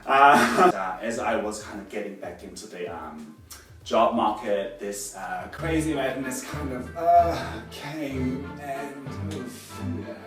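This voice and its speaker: A man's voice: medium pitch, takes it slowly, distant mic. A young man from Korea chatting casually to his camera, rambling and self-conscious with lots of fillers. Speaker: DIY vlogger. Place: echoey room alone with a camera. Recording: echoey, off-mic, soft music.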